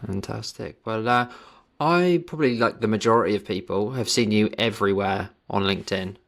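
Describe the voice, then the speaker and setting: A man's voice: on the low side, brisk, creaky. A man hosting a leadership interview, asking long, loosely worded questions with frequent restarts. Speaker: podcast host.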